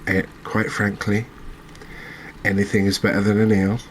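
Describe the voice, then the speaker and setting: A man hosting a gaming channel with soft-spoken ASMR commentary, talking in a gentle, unhurried, halting way. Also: fairly low pitch, completely flat delivery, a little hiss or hum, poor audio.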